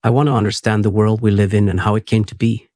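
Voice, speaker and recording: A man reading the lines of a woman historian guest in a steady, even voice. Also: fairly low pitch, quick pace, no room sound, clean studio sound, lightly acted. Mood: earnest.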